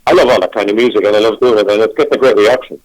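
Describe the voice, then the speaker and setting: A man's voice: on the low side, very fast, poor audio. A middle-aged man, a singer, chatting in a phone interview and rambling with many restarts. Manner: rambling, warm self-talk with many restarts.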